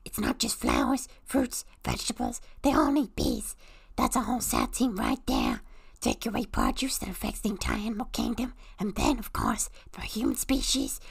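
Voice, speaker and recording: Small cartoon mushroom creature, excitable, very hoarse and croaky, wildly swooping pitch, theatrical parody reading. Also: female voice, moderate pace, no room sound, full character voice. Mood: frantic, earnest. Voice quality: raspy, strained, breaking into gravel and whisper. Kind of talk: parody reading of a film script.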